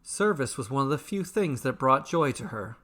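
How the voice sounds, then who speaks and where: A man reading a scripted fantasy story as its storyteller, in measured, dramatic, deliberately broken phrases. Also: mid-range voice, lively intonation, fairly bright tone. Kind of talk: scripted fantasy bedtime narration.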